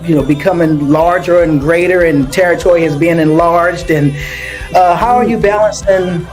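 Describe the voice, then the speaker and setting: A woman hosting a casual interview, effusive and halting, repeating words as she goes and laughing often. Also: lowish voice, a little mellow, faint music behind, poor audio. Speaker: interview host.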